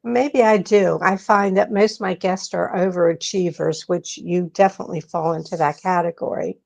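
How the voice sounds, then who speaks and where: A woman's voice: fairly low pitch, steady tone, normal speed, vocal fry. A woman hosting an interview in a chatty way, reading out her guest's background from notes. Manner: chatty, leafs through notes. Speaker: host. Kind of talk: interview host.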